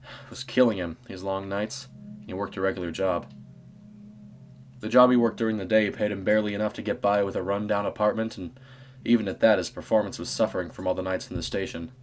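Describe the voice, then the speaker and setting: A man with a low voice narrates a scripted, eerie short story. His delivery is measured and dramatic, and a faint hum of noise runs behind the recording. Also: fairly even intonation.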